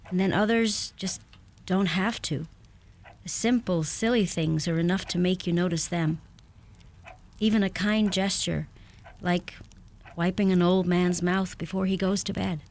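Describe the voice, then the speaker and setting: An actress playing a self-possessed, tender young bride-to-be in a radio play, speaking directly. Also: female voice, fairly low pitch, steady tone, unhurried, a little mellow. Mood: candid, tender.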